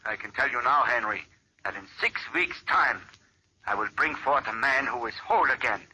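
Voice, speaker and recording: An actor playing a cold, secretive older surgeon in 1940s radio acting style, commanding and sinister. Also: male voice, medium pitch, lively intonation, normal speed, sharp tone, breathy edge, heavily acted, low-quality recording. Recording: vintage radio recording with faint hiss.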